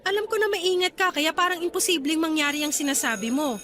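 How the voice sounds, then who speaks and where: A young aunt in a radio drama, high in pitch, protective and affectionate toward family, speaking in an expressive dramatic style. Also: female voice, quick pace, a faint crowd. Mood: concerned, tender. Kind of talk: radio drama acting in Tagalog.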